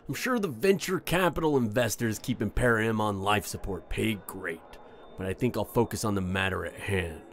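A man's voice: lowish voice, moderate pace, a little hiss or hum, natural voice. A man playing a weary, irritable FBI special agent in an audio drama, curt and official. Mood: exasperated.

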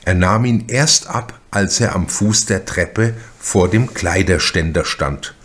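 A man reading a crime novel as an audiobook narrator, in a measured, deliberate manner with careful pauses. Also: sits low, fairly bright tone, husky.

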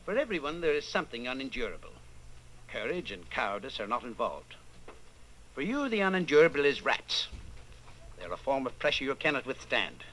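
An actor playing a cold, domineering inquisitor in a radio drama, speaking with deliberate, menacing authority. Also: male voice, medium pitch, very animated, sharp tone, poor audio. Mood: calm menace. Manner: deliberate, commanding, interrogating. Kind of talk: old-time radio drama acting.

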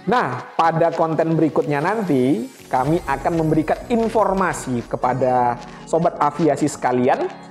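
Higher-pitched man explaining to viewers. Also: expressive, moderate pace, faint music behind. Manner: conversational, addresses viewers as friends with rhetorical questions. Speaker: air traffic controller and channel host. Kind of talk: explanatory talk to camera. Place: small indoor room, studio-like set. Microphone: clip-on lapel mic.

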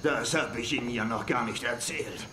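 A flustered old-school army general played by a man in an audio play, easily dismayed and given to stammering exclamations. The voice is sharp and fairly high, in a roomy sound with faint noise. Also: normal speed, lightly acted. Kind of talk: audio drama acting.

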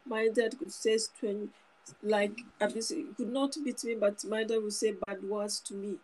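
Husky woman preaching earnestly to camera. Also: mid-range voice, steady tone, moderate pace, darkish tone, poor audio. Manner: earnest, repetitive, restarts phrases. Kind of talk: informal sermon to camera. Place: small indoor room. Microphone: phone camera close up.

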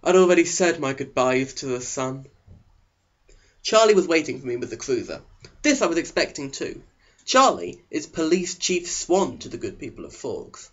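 A man's voice: fairly high pitch, sing-song, moderate pace, fairly bright tone. A man narrating an audiobook in a sarcastic, melodramatic way. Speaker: audiobook reader. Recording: dull, lo-fi sound.

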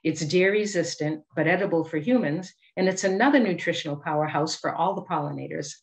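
A middle-aged woman with a Boston accent gives a scripted educational presentation over a video call, measured and articulate. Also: fairly low pitch, low-quality recording. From Boston area, United States. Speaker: master gardener giving a presentation.

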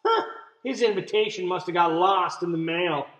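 A man's voice: sits high, moderate pace, fairly bright tone. A man voicing a jealous, scornful little girl mouse from a picture book, theatrical and exaggerated. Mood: dramatic warning. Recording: roomy, echoey room sound.

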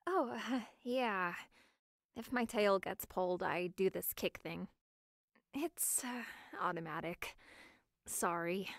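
A woman's voice: on the low side, slow, no room sound, studio quality. A woman voicing a timid, earnest young wooden robot girl in a cartoon style, with a hesitant, emotional delivery. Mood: sheepish. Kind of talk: animated character demo reel acting.

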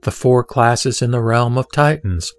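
A man giving a measured, solemn reading of a Buddhist scripture. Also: medium pitch, no echo. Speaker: sutra reciter. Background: soft background music.

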